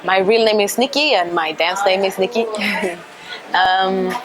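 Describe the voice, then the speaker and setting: A woman's voice: mid-range voice, measured pace, background noise. A young woman from Sweden, a dancer, speaking earnestly and thoughtfully in an interview, often tagging sentences with "huh?", recorded on a lapel mic in a cafe. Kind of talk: reflective interview monologue.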